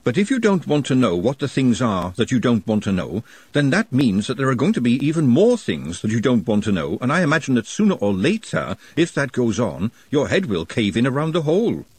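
A man voicing a blunt, worried, sharp-tongued woman who is wary and forthright, in a storybook reading. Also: mid-range voice, very animated, brisk, few pauses, husky, no echo, pitched above the performer's own voice. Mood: worried, rambling.

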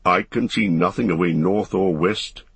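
A man's voice: deep voice, moderate pace, fairly bright tone, husky, no echo, heavily acted. A man voicing a stubborn, weary male dwarf in a fantasy audiobook, blunt and heavy-hearted. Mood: questioning.

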